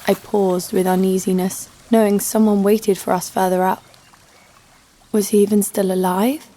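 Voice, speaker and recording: A woman's voice: mid-range voice, little pitch movement, moderate pace, dark tone, slight background noise, close to an everyday voice. A woman playing a tough, sardonic knife fighter who tells her own story in an audio drama. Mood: dry, tense. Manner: dry, sardonic. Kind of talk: scripted first-person audio drama narration. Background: music.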